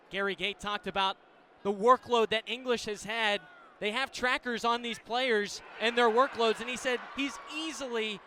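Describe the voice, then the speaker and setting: An American man doing live radio play-by-play of a game in clipped descriptive phrases, ready to burst into excited calls. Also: sits high. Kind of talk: live sports play-by-play commentary. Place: indoor stadium broadcast position. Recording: live radio with steady venue noise.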